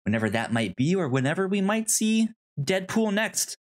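A man co-hosting a podcast in casual movie chat, with a halting, hesitant delivery. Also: high-pitched, sing-song. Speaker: podcast co-host.

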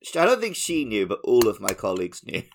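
A man's voice: fairly low pitch, huge pitch swings, brisk, creaky, slight background noise. A man co-hosting a podcast, chatting casually and telling everyday anecdotes. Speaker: podcast host. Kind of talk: casual chat, anecdotal storytelling.

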